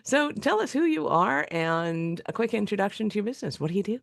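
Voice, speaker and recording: A woman hosting a podcast interview, hoarse from a sore throat, bubbly and quick to laugh. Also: medium pitch, very animated, no room sound, studio quality. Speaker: podcast host.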